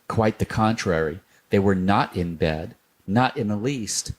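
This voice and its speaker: A man reading a children's storybook aloud, gentle and unhurried. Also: fairly low pitch.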